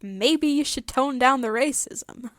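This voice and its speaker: A woman's voice: high voice, a little slow, a bit bright, no room sound, clean studio sound. A woman co-hosting a podcast with casual banter and a sardonic, irreverent way of talking. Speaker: podcast co-host.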